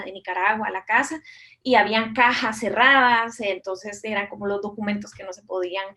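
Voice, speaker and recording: A woman's voice: fairly high pitch, a bit bright. A woman from Mexico and Costa Rica answering interview questions conversationally as a documentary director, reflective and often adding little tag questions.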